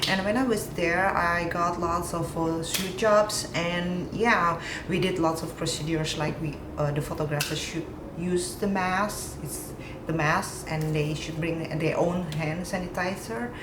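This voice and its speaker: A woman's voice: on the low side, steady tone, unhurried. A young woman with an Indonesian accent, a fashion model chatting as an interview guest, upbeat and chatty, often restarting her sentences. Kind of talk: casual interview chat. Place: apartment with city view. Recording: echoey room with background noise.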